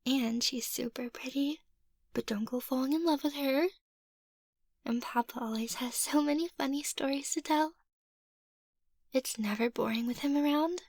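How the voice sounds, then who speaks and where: Woman playing a high-voiced girlish vampire mistress. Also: normal speed, pauses often, a little mellow, no echo, clean studio sound. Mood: chatty, fond. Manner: childlike, bubbly, eager. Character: playful, excitable young girlish vampire mistress. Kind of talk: ASMR roleplay acting.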